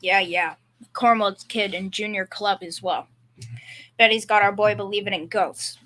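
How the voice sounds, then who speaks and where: A woman's voice: mid-range voice, fairly even intonation, measured pace, bright, forceful tone. A young female performer playing a bumbling, confidently clueless working-class dad in a school old-time radio comedy, with folksy bad grammar. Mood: blustering, confident. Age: young teen.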